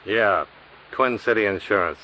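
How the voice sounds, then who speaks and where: A man's voice: lowish voice, measured pace, pushed and bright, low-quality recording. An American man playing a wry, hard-boiled insurance investigator, narrating and acting tersely in 1950s noir radio style. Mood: terse, probing. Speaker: lead and first-person narrator. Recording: faint hiss on vintage radio recording.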